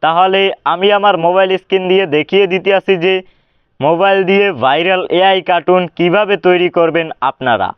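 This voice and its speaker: A man's voice: high voice, brisk, clean tone, dry recording. A man hosting a tutorial, delivering a scripted intro and sign-off. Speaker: tutorial host. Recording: dull, lo-fi, dry voice track.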